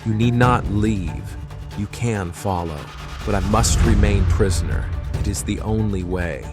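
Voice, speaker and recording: A resolute captive holy man played by an actor, solemn and self-sacrificing. His voice sits fairly low, with clearly audible music behind it, in the manner of a historical audio drama. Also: a little slow, close to an everyday voice. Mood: resigned urgency.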